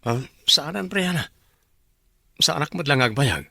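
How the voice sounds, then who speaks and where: A man's voice: on the high side, animated, takes it slowly, creaky, sharp tone, no room sound, clean studio sound, full character voice. A man with a Filipino accent playing a polite, apologetic young boyfriend in a radio drama. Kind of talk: Ilocano radio drama acting.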